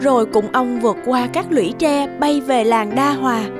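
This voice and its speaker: A woman narrating an animated folk tale in a scripted storybook style. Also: a little high, moderate pace, close to an everyday voice. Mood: storytelling. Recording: background music under the voice.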